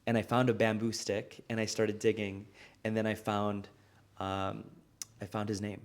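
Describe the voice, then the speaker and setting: American guy being interviewed, low voice, earnest and reflective, storytelling. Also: little pitch movement, moderate pace. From United States. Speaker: guest, restaurant founder. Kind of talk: conversational interview.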